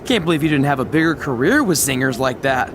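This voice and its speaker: A man's voice: medium pitch, animated, fast, background noise, lightly acted. An actor playing a cocky, wisecracking young male wrestling trainee in video game dialogue. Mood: wry. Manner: flippant, wisecracking.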